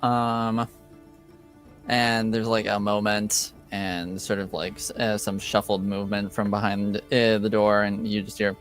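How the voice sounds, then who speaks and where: A man with a lowish voice runs a tabletop roleplaying game. He narrates slowly and hesitantly, feeling out each phrase in everyday language. Soft music plays behind him. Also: flat delivery. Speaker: game master.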